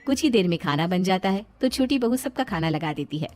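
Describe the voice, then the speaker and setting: A woman's voice: fairly low pitch, expressive, brisk, darkish tone, faint music behind. A woman reading a scripted moral family story as its narrator.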